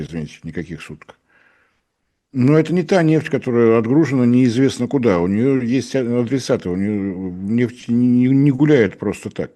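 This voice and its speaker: Middle-aged male analyst, low mellow voice, dry ironic delivery. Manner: ironic, dryly mocking, acts out dialogues. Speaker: energy analyst guest. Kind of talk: sarcastic analytical commentary.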